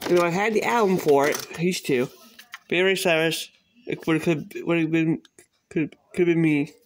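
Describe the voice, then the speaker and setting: A man chatting casually in a home video, halting and repeating himself as he shows off a collection. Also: fairly high pitch, steady tone. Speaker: cassette collector showing a collection. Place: small bedroom, at a closet, indoors. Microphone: handheld phone camera, speaker behind it. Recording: noisy handheld home recording, clicks.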